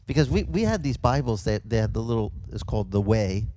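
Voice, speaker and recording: A man raised speaking Italian, preaching a sermon as pastor in a conversational, animated storytelling way, with quick asides and restarts. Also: lowish voice, slight background noise. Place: outdoors.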